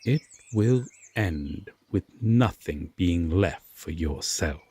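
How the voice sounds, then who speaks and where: A man playing an aloof, stern yet secretly tender Fae king in a roleplay audio drama, speaking formally and deliberately with long pauses. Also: deep voice, expressive, warm tone, slightly rough, exaggerated voice. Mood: stern, cautioning.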